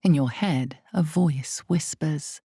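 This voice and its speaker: A woman voicing the omniscient narrator of a dark fantasy game, grave and ominous, with measured delivery and dramatic pauses. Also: dry recording, studio quality, full character voice. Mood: grave, foreboding. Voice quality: creaky, low, mellow. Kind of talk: dramatic scripted second-person fantasy narration.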